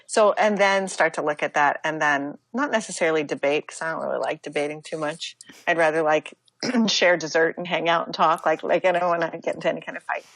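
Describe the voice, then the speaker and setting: An American woman speaking as an interview guest, talking reflectively in a halting, casual way with many asides. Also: sits low, fairly bright tone, dry recording, rough recording. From United States. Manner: reflective, halting, with fillers and self-quoting asides. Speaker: interview guest, yoga teacher and author.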